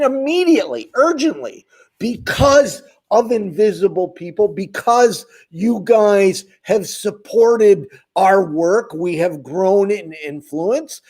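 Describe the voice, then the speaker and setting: A man's voice: sits high, a little mellow. A man who runs an advocacy channel, speaking straight to his viewers in an impassioned, ranting way. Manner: emphatic, ranting, addresses viewers directly. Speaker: homeless advocate, channel host. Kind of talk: impassioned direct-to-camera talk.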